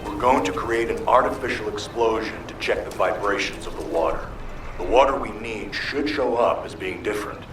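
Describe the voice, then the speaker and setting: An actor playing a practical, upbeat construction corps captain in a video game, giving purposeful briefings. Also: male voice, on the low side, moderate pace, natural voice. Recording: distant, echoey, loud music behind.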